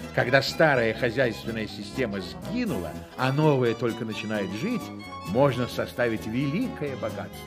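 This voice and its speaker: An actor narrating a satirical radio play as a wry, theatrical storyteller, with weighty, drawn-out phrases. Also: male voice, medium pitch, close to an everyday voice.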